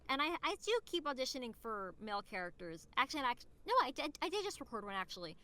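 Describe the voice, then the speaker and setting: A young woman with a very bright, forward voice talks as a podcast guest. She is casual and eager, rambling through her thoughts and dropping "like" in often. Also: on the high side, big pitch swings, fast talker. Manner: enthusiastic, rambling, peppered with "like".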